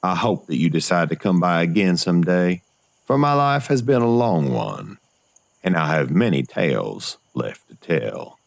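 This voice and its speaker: A man's voice: deep voice, big pitch swings, moderate pace, creaky, dry recording, lo-fi sound, exaggerated voice. A man playing a long-lived, solemn, gracious storyteller narrating a gothic horror tale for a fiction podcast. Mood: gracious, wistful. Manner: folksy, formal, courteous address.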